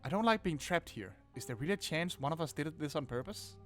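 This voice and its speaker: A man streaming a visual novel, reading it aloud and reacting with animated, laughing, swearing commentary. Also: a little high, fast, soft background music. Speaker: game streamer.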